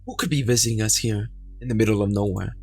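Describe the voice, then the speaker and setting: A man's voice: fairly low pitch, fast, vocal fry, husky, soft background music. A young man playing a horror visual novel, reading the narration aloud with suspenseful emphasis. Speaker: gaming video creator.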